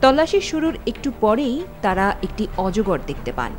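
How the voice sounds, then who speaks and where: A woman from Bangladesh reads a news report in a steady, measured way, her pitch rising and falling freely. A clear music bed runs under the voice-over. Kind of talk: scripted news narration.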